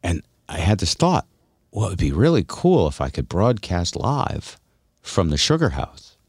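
Middle aged guy podcast host, really deep but bouncy delivery, jokey storytelling, poking fun at himself. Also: unhurried, breathy edge, dry recording, studio quality. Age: middle-aged or older. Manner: teasing, self-deprecating, animated storyteller. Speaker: radio show host. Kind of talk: casual comedic podcast chat.

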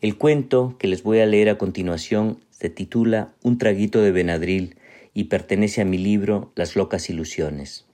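A writer introducing himself and his story in an earnest, measured way. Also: lowish voice, mellow. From Peru. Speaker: writer and literature professor. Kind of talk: author introducing his reading.

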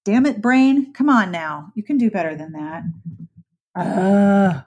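A woman hosting a podcast conversation, talking in an animated, chatty way. She gushes about things she loves and teases herself when she loses a word. Her voice sits at an ordinary middle pitch for a woman. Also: takes it slowly. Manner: enthusiastic, self-deprecating, chatty. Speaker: podcast host. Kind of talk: conversational interview.

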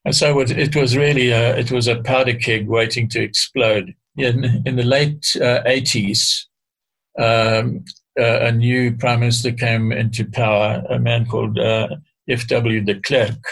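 An older man speaks in a gravelly, creaky voice that stays flat and level in pitch. He explains things in a measured, hesitant way, often pausing and starting phrases again, as a guest being interviewed. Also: poor audio. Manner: hesitant, measured, frequent restarts. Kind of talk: explaining history in conversation.

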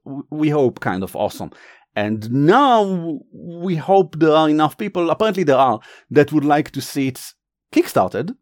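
A man with an Israeli accent explaining and narrating as game master in a halting, casual, enthusiastic way. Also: medium pitch, a little mellow, no echo, studio quality. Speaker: game master, game designer and writer.

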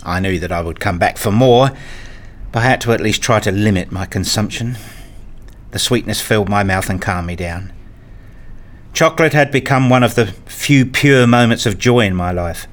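A man reading a scripted first-person story as narrator, in a measured, introspective manner. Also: on the low side.

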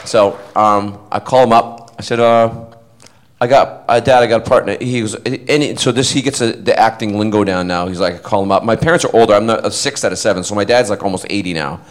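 A man's voice: on the low side, a little fast. A man, an actor, telling rambling stories to a live audience at a fan panel. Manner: animated, rambling, self-interrupting. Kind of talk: casual storytelling to an audience.

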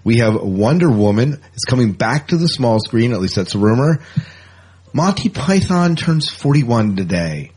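A man's voice: mid-range voice, big pitch swings, normal speed, a little mellow, breathy edge. A man co-hosting a casual sci-fi fan podcast, rambling and jokey, often repeating words for emphasis. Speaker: podcast co-host.

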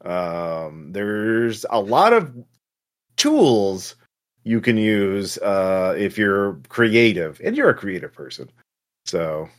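A man running a tabletop game as game master, narrating casually and off the cuff in a halting way with drawn-out pauses. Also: on the low side, very animated.

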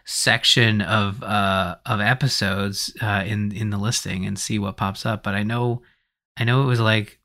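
A man's voice: fairly low pitch, fairly even intonation, measured pace, pushed and bright. A man co-hosting a casual film discussion in loose, rambling, hesitant phrases. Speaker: podcast co-host.

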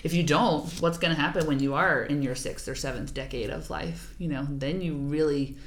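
Woman, slightly low pitch, podcast guest, physical therapist, casual agreeable explaining. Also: normal speed. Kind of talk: casual interview conversation.

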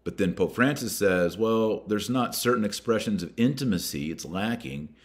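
An American man hosting a podcast, reading and commenting in an emphatic, deliberate way that stresses key words and names. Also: on the low side, expressive.

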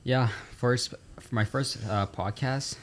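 A man's voice: medium pitch, takes it slowly, slight background noise. A young man with a Brazilian accent, chatting casually as a student guest on a podcast. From São Paulo, Brazil. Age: teens or young adult. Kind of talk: casual interview chat.

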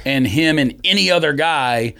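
A man's voice: on the high side, animated, moderate pace, sharp tone. A man co-hosting a sports podcast, debating casually in an emphatic, insistent way. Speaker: sports and sneaker podcast co-host.

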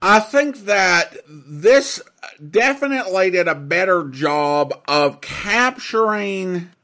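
A man hosting a show about old-time radio, giving reflective commentary in a measured, halting delivery. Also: a little high, bright, forceful tone.

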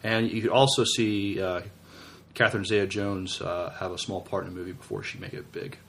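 A man co-hosting a podcast, discussing science fiction casually in a halting, tentative way with repeated words. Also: low voice, fairly even intonation, a bit bright. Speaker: podcast co-host.

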